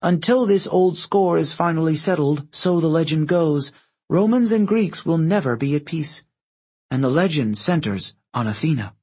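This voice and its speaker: Man voicing a stern young female commander, raised pitch. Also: lively intonation, moderate pace, a little mellow, husky. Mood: grave, measured. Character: stern, proud young woman commander of Roman demigods. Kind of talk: audiobook character dialogue.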